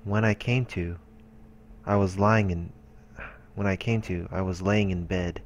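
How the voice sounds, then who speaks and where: A man's voice: sits low, fairly even intonation, a little slow. A man reading visual novel narration aloud as a let's player, in an earnest, dramatic storytelling way.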